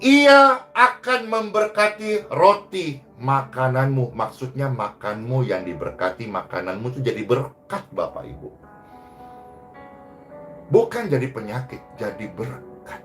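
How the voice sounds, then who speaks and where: Guy pastor preaching, really animated pitch, emphatic then hushed, soft music under him. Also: measured pace. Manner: emphatic, exhorting, swings between loud rises and hushed phrase endings. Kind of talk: sermon.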